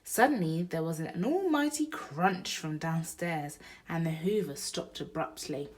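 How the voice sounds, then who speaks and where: Animated woman reading a bedtime story. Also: low voice, unhurried. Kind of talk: expressive bedtime story read-aloud for young children.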